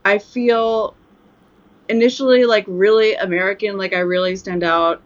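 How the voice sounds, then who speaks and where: A woman's voice: medium pitch, fairly even intonation, moderate pace, lo-fi sound, a little hiss or hum. A woman, a native speaker of Japanese, chatting casually with many fillers and restarts. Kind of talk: casual chat.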